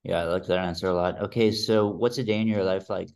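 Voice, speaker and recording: A man's voice: deep voice, steady tone, fast talker, a little mellow. A man interviewing a guest, moving through numbered questions with short, upbeat prompts.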